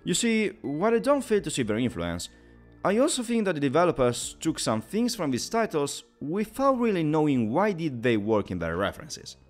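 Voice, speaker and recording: A man's voice: a little high, wildly swooping pitch, normal speed, faint music behind. A man narrating a scripted video game review in an emphatic, opinionated way.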